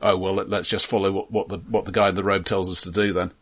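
A man's voice: low voice, flat delivery, fast. A man co-hosting a film podcast in casual discussion, analytical and often stammering over how sentences start.

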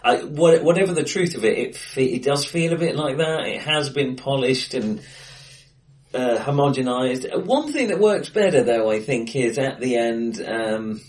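A man co-hosting a relaxed film chat, mid-pitched, stopping and restarting with ums and laughing easily. He sits a little back from the mic in a bright, reflective room. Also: normal speed, distant mic. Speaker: podcast co-host. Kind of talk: casual film discussion. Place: acoustically bright room, face to face.

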